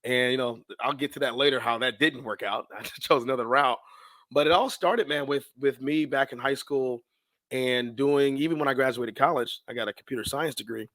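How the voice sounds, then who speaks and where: An American man in his thirties tells stories as a podcast guest. His pitch sits in the middle and the sound is dry, with no room on it. He talks casually and in slang, voicing his own past thoughts and quick exchanges as he goes. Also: a bit bright, no room sound. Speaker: podcast guest, sports public address announcer. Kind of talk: casual storytelling in an interview.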